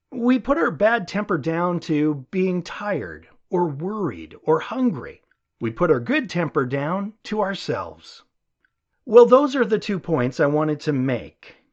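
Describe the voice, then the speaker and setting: Expressive higher-voiced man reading an audiobook. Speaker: audiobook narrator. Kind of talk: audiobook reading of a conversational lecture. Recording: dull, muffled lo-fi audio.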